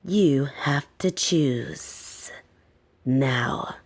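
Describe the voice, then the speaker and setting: Woman voicing a slow, low, teasing lamia caretaker. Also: exaggerated voice. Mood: teasing, insistent. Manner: coaxing, condescending, softly menacing. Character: soft-spoken, teasing, domineering female lamia caretaker, adult monster girl. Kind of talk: scripted roleplay audio, intimate second-person address.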